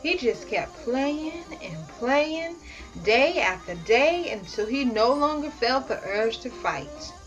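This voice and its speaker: A woman reading a children's story aloud as host, expressive and dramatic, drawing out key words for emphasis. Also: high voice, slow, highs cut off, faint music behind. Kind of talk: read-aloud children's picture book narration.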